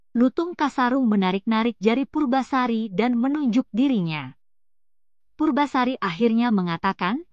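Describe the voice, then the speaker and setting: A woman narrating a scripted folktale as a storyteller, recorded close up as a voice-over. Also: a little high.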